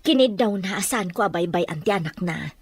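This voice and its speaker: A woman's voice: lowish voice, animated, a little fast, husky, no room sound. A devoted godmother in a radio drama, defensive, voice swings a lot, dry sound. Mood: earnest explaining. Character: devoted, defensive woman, godmother raising an orphaned child.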